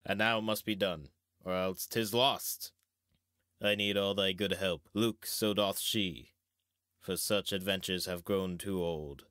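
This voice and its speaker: A man playing a wise, grave old knight and mentor in a measured, theatrical Shakespearean reading. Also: low voice, steady tone, a bit bright, no echo, studio quality. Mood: thoughtful.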